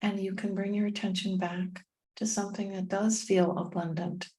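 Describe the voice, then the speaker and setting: A woman leading a guided meditation in a soothing, gentle manner, leaving long pauses between short phrases. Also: medium pitch, flat delivery. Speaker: meditation guide and tapping coach.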